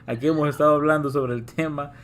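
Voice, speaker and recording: A man hosting a casual live-stream interview, talkative, with quick asides and frequent restarts. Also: on the high side, fairly even intonation, slight background noise.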